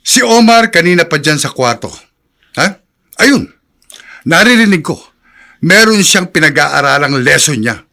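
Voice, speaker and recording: A hot-tempered Filipino patriarch in a radio drama. His voice is sharp and rather high, swinging widely as he scolds and lays down the law. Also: expressive, measured pace, gravelly, pauses often, heavily acted. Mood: indignant, stern. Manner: domineering, scolding. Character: stern, hot-tempered patriarch father, middle-aged.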